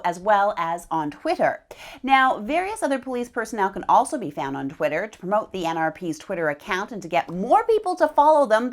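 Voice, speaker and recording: A woman anchors a local newscast. Her pitch sits at an ordinary height for a woman but moves up and down in a very animated way. She reads scripted items in a presenter's style. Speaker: news anchor.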